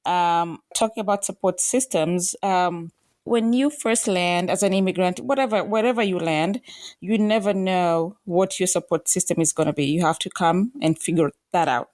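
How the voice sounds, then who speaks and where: A woman in her forties from Kenya talks as a guest, thoughtful and halting, often pausing to find her words. Her voice sits low. The sound is dry and close. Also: a little mellow, no echo. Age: 40s. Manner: reflective, halting with fillers and restarts. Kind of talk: casual interview talk.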